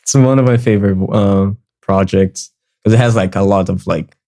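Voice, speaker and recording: Laid-back Brazilian man, low mellow voice. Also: normal speed. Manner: relaxed, laughs often, many "like" fillers. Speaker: guest music producer. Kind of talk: casual podcast chat.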